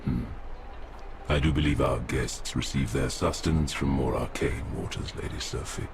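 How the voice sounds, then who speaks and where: An immensely deep-voiced old woodland creature-king speaks with proud, mournful gravity. His delivery is poetic and doom-laden, in video game cutscene style, over clearly audible background ambience. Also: fairly even intonation, moderate pace, background noise. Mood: mournful, bitter. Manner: solemn, grandiose, poetic doom-laden pronouncements. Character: melancholy, proud, ancient stag-headed forest king.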